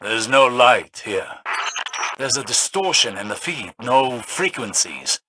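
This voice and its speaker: An actor playing a calm, grave, scholarly mission advisor in a video game, speaking in measured, formal and cryptic phrases. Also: male voice, medium pitch, background noise. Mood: grave, intent. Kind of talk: video game dramatic voice acting.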